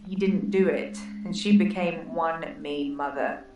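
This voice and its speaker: A deadpan, self-mocking patient. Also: female voice, deep voice, a little slow, lo-fi sound, music in the background. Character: chatty young woman patient, candid and self-mocking. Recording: roomy game audio from a distance.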